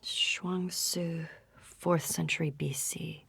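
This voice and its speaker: An actress playing a reflective female researcher, speaking softly in an understated, natural way. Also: deep voice, fairly even intonation, slow pace, creaky, husky, dry recording, whispered, heavily acted. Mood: calm, contemplative. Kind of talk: audio drama reading and conversation.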